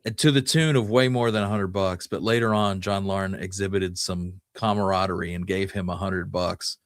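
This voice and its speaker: A man with a fairly low voice, recorded close and dry, co-hosts a history show. He tells stories informally and conversationally, as if chatting with a friend. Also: steady tone, normal speed, no room sound. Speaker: podcast co-host. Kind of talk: casual conversational history storytelling.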